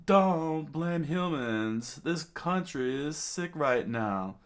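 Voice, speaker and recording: A man voicing an earnest young male reindeer creature, wounded but resolute, speaking slowly and emphatically in an adventure story. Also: on the high side, lively intonation, voice pushed up. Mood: pained resolve. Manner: slow, emphatic, declamatory.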